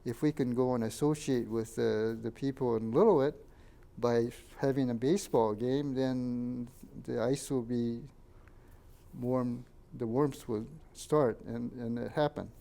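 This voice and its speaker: An older man, a Japanese first-language speaker from Canada, recalls his childhood in a halting oral history interview and points out photos. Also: medium pitch, fairly even intonation, creaky, mellow. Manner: halting, many fillers and restarts, pointing out photos.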